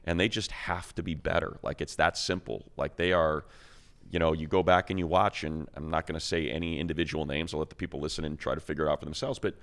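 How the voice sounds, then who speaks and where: Deep-voiced man talking football, emphatic and colloquial. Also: level tone, quick pace, a bit bright. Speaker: sports radio announcer and analyst. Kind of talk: casual football talk and analysis.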